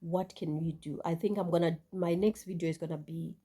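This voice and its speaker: A woman's voice: lowish voice, fairly even intonation, a little fast, mellow, slightly rough. A Zimbabwean woman vlogger speaking reflectively to her viewers, with many fillers and restarts. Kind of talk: casual talk to camera.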